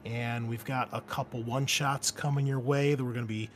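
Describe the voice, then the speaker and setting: A man hosting as game master, explaining things casually and informally with a halting delivery. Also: mid-range voice, steady tone. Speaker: game master and podcast host. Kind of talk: casual explanation and chat on an actual-play podcast. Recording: faint background noise.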